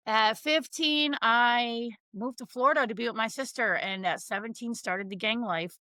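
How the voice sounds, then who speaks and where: A woman's voice: mid-range voice, bright, forceful tone, no room sound. A woman in her thirties from Connecticut, a podcast guest telling her life story in a blunt, frank, casual way.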